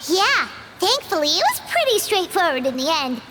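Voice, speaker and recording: An excitable little fairy sidekick, high and lively. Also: female voice, moderate pace, heavy background noise. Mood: chipper. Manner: chirpy, excitable. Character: small floating female fairy companion, chirpy. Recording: game audio with loud music.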